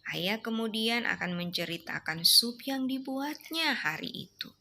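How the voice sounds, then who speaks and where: A woman reading a storybook aloud to her young child at night, gently asking the child questions along the way. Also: a little high, expressive, vocal fry, slight background noise. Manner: slow, gentle, coaxing, asks the child questions.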